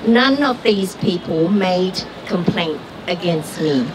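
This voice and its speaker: A woman from Vietnam, giving an earnest, deliberate prepared speech at an outdoor rally. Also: lowish voice, expressive. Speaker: rally speaker, doctor. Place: outdoor street gathering. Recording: far-off street recording with traffic noise.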